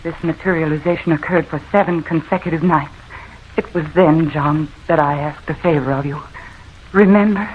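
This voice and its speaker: An actress playing a nervous, haunted wife, telling of her ghostly terror in a halting, confessional way, in old-time radio horror style. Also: low-pitched, fairly even intonation, lightly acted. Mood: haunted, hushed. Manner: dramatic, halting, confessional first-person narration. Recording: muffled, noisy old radio recording.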